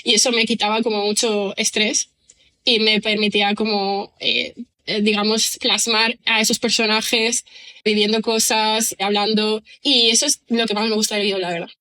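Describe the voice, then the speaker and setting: Woman from chatting on a podcast, bright voice, kinda monotone, lots of um and o sea. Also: medium pitch, moderate pace. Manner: thoughtful, rambling with many fillers and restarts. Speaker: writer and screenwriter guest. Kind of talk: casual podcast interview.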